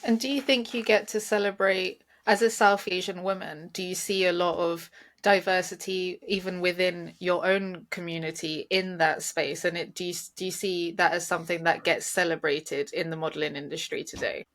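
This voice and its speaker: A woman's voice: fairly low pitch, steady tone, moderate pace, a bit bright. A young woman from the UK with a South London accent, co-hosting and asking searching questions with restarts. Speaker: podcast co-host. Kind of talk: casual interview questions.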